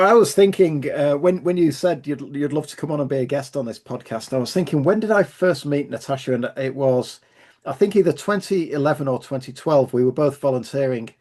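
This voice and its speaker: A man's voice: mid-range voice, darkish tone. A man hosting an interview podcast, friendly and casual, often restarting his sentences. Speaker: podcast host.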